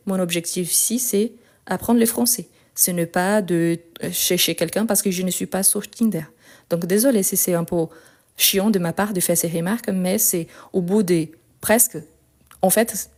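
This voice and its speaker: A woman's voice: on the low side, darkish tone. A woman teaching grammar in a live lesson, didactic and insistent, repeating key points. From France and Brazil. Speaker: French teacher.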